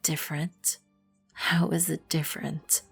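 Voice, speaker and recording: A woman playing a proud, composed vampire queen in an ASMR roleplay, with an imperious, formal manner and a breathy, husky voice. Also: low voice, little pitch movement, measured pace, full character voice. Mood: stern, indignant. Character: regal, composed, proud vampire queen, protective of her colony. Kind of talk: ASMR roleplay, scripted second-person acting. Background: background music.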